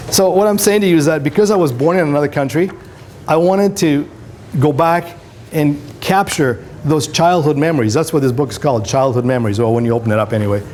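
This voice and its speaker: A middle-aged man, born in Greece with Greek as his first language, talks to students in a conversational, anecdotal way. His voice sits a little high for a man, and he often checks in with short tag questions. A faint room noise runs behind him. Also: a little fast, a little mellow. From Greece, moved to Canada at twelve. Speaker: photographer, career day speaker. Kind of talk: informal motivational talk to students. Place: school classroom, indoors. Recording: noisy room with background hiss.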